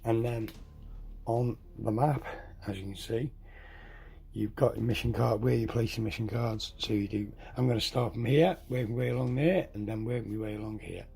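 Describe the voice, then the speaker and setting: A hoarse man getting over a cold walks through a tabletop game setup, halting, correcting himself and clearing his throat. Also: mid-range voice, darkish tone. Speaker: tabletop game tutorial host. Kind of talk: casual step-by-step game setup walkthrough.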